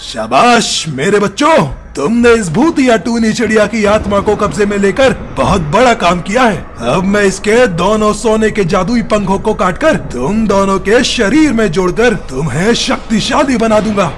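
A man's voice: high-pitched, lively intonation, moderate pace, soft background music. A man voicing an evil, cowardly crow sorcerer in a cartoon with theatrical delivery. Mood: gloating.

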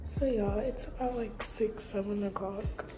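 A woman's voice: mid-range voice, steady tone, measured pace. A young adult American woman, chatting casually as a vlogger. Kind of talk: casual vlog chat. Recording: music behind the voice.

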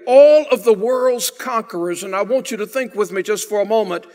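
An older pastor with a notably high-pitched voice delivers a sermon in a church sanctuary. He preaches emphatically from the pulpit, building in force on his key points. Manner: emphatic preaching, swelling louder on key phrases.

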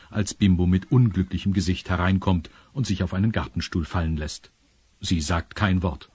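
A man's voice: low voice, darkish tone, slightly rough, no echo. A man narrating a children's audio drama from a script.

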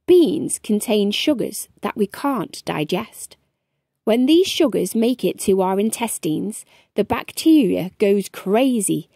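A young adult woman reading a children's book aloud as storytime host, expressive and deliberate, speaking to young children. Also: medium pitch, vocal fry, no echo, studio quality. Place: small furnished room indoors.

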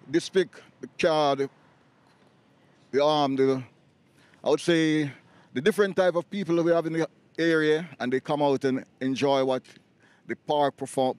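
A middle-aged man who runs a restaurant gives halting answers in a slightly raised voice. He is interviewed on a sidewalk by shops and speaks into a handheld mic. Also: a little high, a little mellow, no room sound. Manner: hesitant, searching for words. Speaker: restaurant owner. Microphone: handheld mic held by interviewer.

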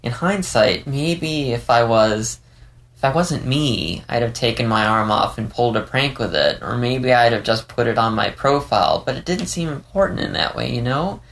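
A man's voice: medium pitch, animated, normal speed, creaky, a bit bright. A man voicing a playful, candid young male fox in an audiobook story, rambling with hesitant stammers.

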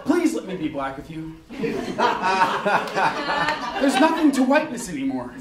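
A man's voice: sits high, huge pitch swings, a little slow, recorded from a distance, heavy background noise. A man giving a theatrical, emphatic comedic reading to a live audience. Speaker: guest reader at a live event. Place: crowded dim indoor bar venue. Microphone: stand microphone at a lectern. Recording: echoey, off-mic, loud laughing crowd. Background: loud audience laughter.

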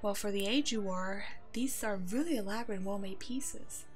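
A woman playing a kind, protective werewolf in an ASMR roleplay drama, speaking gently and reassuringly as if to a child. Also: medium pitch, moderate pace, faint music behind, a faint crowd, lightly acted. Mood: warm, gentle. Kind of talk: ASMR roleplay audio drama, one-sided dialogue.

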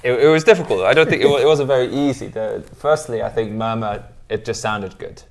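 A man's voice: medium pitch, fairly quick. A man in his thirties, a newspaper editor, talking thoughtfully as a guest in a TV studio interview, with many ums and restarts. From Switzerland. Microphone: lapel.

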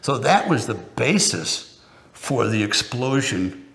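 An older man in a documentary interview, telling gym history in an animated way. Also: mid-range voice, slow pace, creaky, a little hiss or hum. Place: indoor studio, plain backdrop. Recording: music under the speech.

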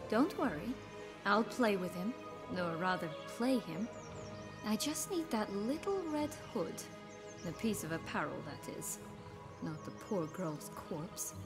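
Game casting: commanding noblewoman, thirties, mid-pitched voice, playful and imperious, articulate, over a score. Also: very animated, measured pace. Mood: arch, teasing. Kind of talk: video game cutscene acting. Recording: music under the voice.

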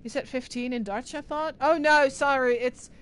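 A woman's voice: high-pitched, moderate pace, clear, resonant tone. Rambling Irish woman streamer. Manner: rambling, answers chat messages aloud. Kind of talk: casual livestream chat.

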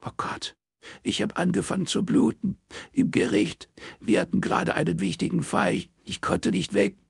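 A man voicing a distraught woman in an audiobook, crying and stammering haltingly through a phone call. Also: mid-range voice, huge pitch swings, creaky, husky, no echo, clean studio sound, pitched above the performer's own voice, exaggerated voice. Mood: sobbing, stammering.

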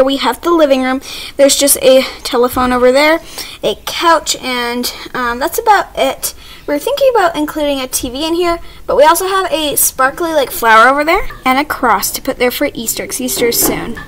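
A young girl with a high voice talks casually as a vlog host. She rambles along chattily and often corrects herself. The homemade recording carries some audible background noise. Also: fairly bright tone. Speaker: host of a doll video channel. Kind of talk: casual home tour vlog chat. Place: small home playroom, indoors. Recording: noticeable background noise, amateur camera audio.

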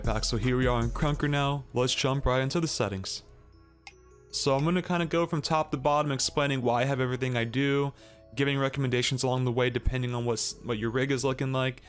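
A young man from Australia, speaking at a mid pitch, goes through a list in an easygoing, explanatory voice that rises on each item he names. He talks close into a boom mic in a small bedroom, with soft music playing faintly underneath. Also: brisk. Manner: relaxed, explanatory, lifts pitch on each setting name. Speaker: gaming streamer. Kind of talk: casual settings walkthrough. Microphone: boom mic on arm near face.

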